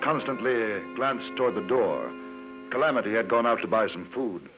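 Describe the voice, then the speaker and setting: A man's voice: on the low side, expressive. Courteous English newspaperman. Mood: measured, observant. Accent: British English. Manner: polite, measured, understated. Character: courteous, observant English newspaperman travelling the frontier. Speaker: narrator and lead. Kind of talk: 1950s radio narration and acting. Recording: muffled radio recording with music.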